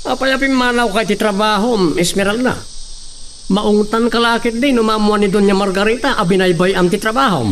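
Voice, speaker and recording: A man acts out a menacing henchman in a radio drama. The voice is high for a man, taunting and contemptuous, in a clearly noisy recording. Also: fairly quick, fairly bright tone. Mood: scornful. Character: bullying armed henchman, gruff and threatening.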